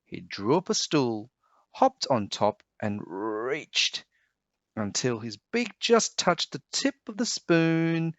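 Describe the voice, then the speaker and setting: A man reading a children's storybook aloud in an expressive, dramatic way. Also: mid-range voice, takes it slowly, no echo, dull audio.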